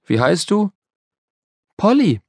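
A man putting on a curious, outspoken little girl for a storybook, pitched high and lively, leaping up and down in her lines. The voice keeps a gravelly, husky grain underneath, in a dry, close recording. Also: slow pace, dry recording, exaggerated voice. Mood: pert. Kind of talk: storybook character voice.

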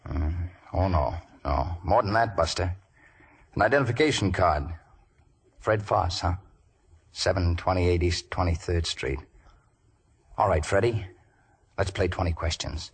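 An actor playing a tough, wisecracking private detective with wry, clipped, menacing slang in 1940s radio style. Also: male voice, low voice, a little slow, creaky, long pauses, husky, exaggerated voice. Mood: menacing, sardonic. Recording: noisy vintage 1940s radio recording.

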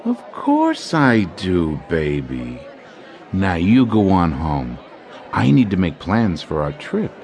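A sly, scheming jazz clarinetist with a low, smooth voice that swings up and down as he sweet-talks and sneers. Faint chatter of a club crowd hums behind him in this radio drama. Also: wildly swooping pitch, takes it slowly, a little mellow, exaggerated voice. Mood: sweet-talking. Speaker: actor in audio drama.

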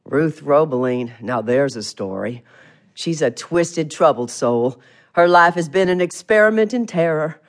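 An actress playing a proud, chatty small-town salon owner with an American Southern accent, folksy and emphatic, in an audio drama. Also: female voice, deep voice, lively intonation, measured pace. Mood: chatty, gossipy. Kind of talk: audio theatre drama acting.

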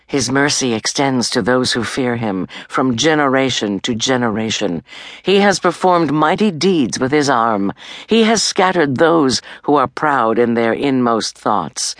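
A humble, devout young woman , acted by a female narrator, recites praise in a scripture audiobook. Her phrases are reverent and measured, with wide melodic movement and very dry sound. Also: extremely low pitch, dry recording, exaggerated voice.

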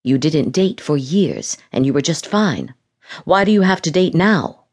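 A woman's voice: low voice, sing-song, a little slow, a little mellow, heavily acted. A woman reading the lines of an impatient, curt adult man in an audiobook romance. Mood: exasperated. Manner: curt, ordering.

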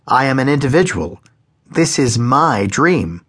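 A man's voice: medium pitch, big pitch swings, a little slow, a bit bright, breathy edge, exaggerated voice. A man voicing a timid younger man who speaks tentatively and anxiously. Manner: tentative, hesitant.

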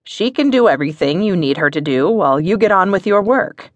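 A woman's voice: on the low side, animated, fairly quick, no room sound, voice pushed up. A woman voicing an eager, agreeable husband speaking to his wife in a story.

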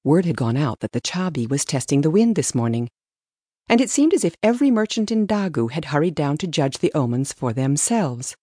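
A woman's voice: sits low, big pitch swings, fairly quick, mellow, dry recording, studio quality. A woman reading scripted story narration as an audiobook narrator.

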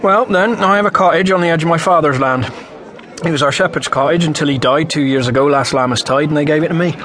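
Radio drama needs a man for polite young country lad, lighter-pitched, earnest and rustic, faint ambience behind. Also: fast talker, a bit bright, a little hiss or hum, close to an everyday voice. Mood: friendly, willing. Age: young adult. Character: earnest eighteen-year-old English farm lad.

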